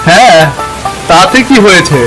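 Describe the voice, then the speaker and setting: A man acts out a poor village husband in a cartoon folk tale, in a fairly high male voice. He sounds plaintive, worn out and beaten by life. Prominent, loud music runs underneath. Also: expressive, unhurried. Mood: doubtful, grumbling. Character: poor, weary, defeated village husband and father. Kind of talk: animated folk tale dialogue.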